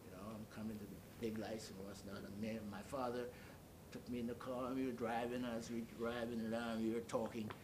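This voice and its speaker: An older man giving an oral history interview, telling his story haltingly and searching for words. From Bahamas. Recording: clearly noisy, low rumble behind.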